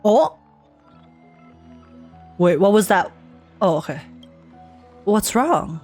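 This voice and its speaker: A woman's voice: mid-range voice, sing-song, extremely slow, a little mellow. A young adult woman giving casual game reaction commentary, chatty and informal, thinking aloud as she goes. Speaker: gaming streamer. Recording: music playing behind the voice.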